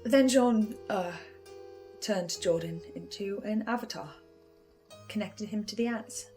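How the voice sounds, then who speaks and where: A woman hosting a scripted video essay, giving reflective commentary with dramatic pauses. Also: mid-range voice, dark tone. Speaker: reviewer and host. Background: music.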